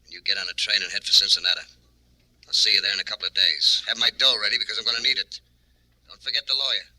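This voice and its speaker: A man playing a tough, smooth-talking, commanding drug smuggler in a 1940s radio drama, with terse tough-guy slang. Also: on the low side, fairly even intonation, very fast, sharp tone, no echo, low-quality recording. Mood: urgent, commanding.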